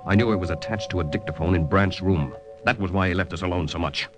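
A man's voice: sits low, quick pace, natural voice. An actor playing a cool, calculating male spy who poses as a collaborator in an old radio drama. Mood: grave, confiding. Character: male OSS agent posing as Nazi collaborator, cool, calculating. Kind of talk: 1940s-50s radio drama acting and first-person narration. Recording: old radio recording with music behind.

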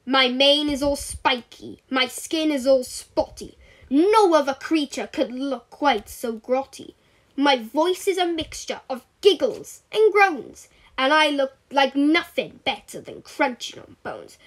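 A woman's voice: high-pitched, animated, slow pace. A woman voicing a spotty, spiky-maned hyena boasting in rhyme. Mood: boastful. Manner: boastful, rhyming. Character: spiky, spotty hyena, sure it's ugliest.

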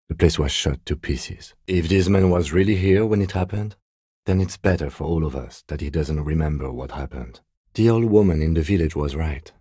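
A man acting a reserved, dutiful police detective in a video game, speaking in a measured, formal, deadpan way with dry courtesy. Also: extremely low pitch, husky, no room sound. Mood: measured, matter-of-fact. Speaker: voice actor.

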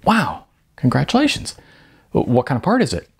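A man voicing a shy, awkward writer in a storybook reading, halting and stammering as he talks. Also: medium pitch, wildly swooping pitch, creaky, slightly rough, pitched above the performer's own voice, full character voice. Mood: awkward, nervous.

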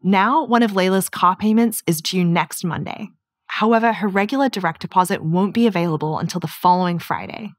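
A woman in her thirties from New Zealand with a softened accent, giving a clear, upbeat scripted keynote presentation. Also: lowish voice, fairly quick, no room sound, clean studio sound. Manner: clear, steady, upbeat delivery. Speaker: product manager presenting. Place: studio set with curtains.

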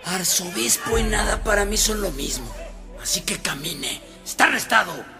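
Radio drama Mexican policeman: bullying, gravelly bark, music behind. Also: high voice, lively intonation, normal speed, in a large hall. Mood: aggressive, scornful. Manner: barking orders. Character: aggressive, contemptuous Mexican policeman making arrest.

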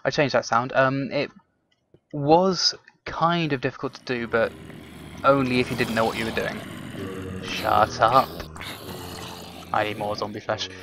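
A man's voice: medium pitch, fairly even intonation, measured pace, creaky, pauses often, fairly bright tone, slight background noise. A young man giving casual, rambling commentary while he plays a video game, talking to himself and to his viewers. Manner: chatty, rambling, frequent ums and self-talk. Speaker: gaming commentator. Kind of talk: casual let's play commentary.

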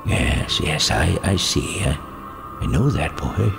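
An actor playing an elderly miser, shaken and remorseful, with a gravelly, halting voice in an audio drama. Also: male voice, very deep voice, lively intonation, full character voice. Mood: sorrowful, shaken. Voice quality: gravelly, creaky. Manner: halting, trembling, regretful. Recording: music behind the voice.

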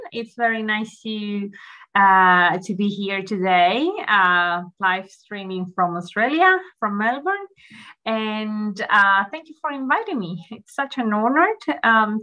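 A woman's voice: medium pitch, bright, forceful tone. A woman from Chile in her thirties giving a friendly, chatty guest lecture over a video call, with frequent ums. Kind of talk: online academic presentation.

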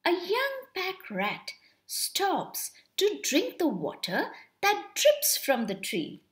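A young woman reads a children's picture book aloud expressively and deliberately, drawing out words. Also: very high-pitched, huge pitch swings. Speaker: storybook reader for children.

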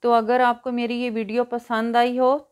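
A woman presents a cooking tutorial as a voice-over. Her voice sits on the high side. She talks in an instructional manner, taking the listener through the method one step after another. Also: level tone, normal speed, darkish tone, smooth. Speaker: cooking show host.

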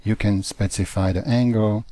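A man who does not speak natively, teaching CAD software in a halting, careful way over a screen recording. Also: fairly low pitch, fairly even intonation, no room sound. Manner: slow, halting phrasing with non-native grammar.

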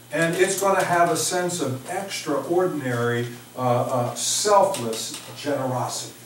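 Echoey, slow-paced American male preacher. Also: a little high. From Oklahoma, United States. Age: middle-aged. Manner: halting, reflective, with repeated words and emphatic pauses. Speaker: pastor preaching. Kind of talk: informal sermon to a congregation. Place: small church sanctuary, indoors. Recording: echoey church, distant microphone, faint noise.

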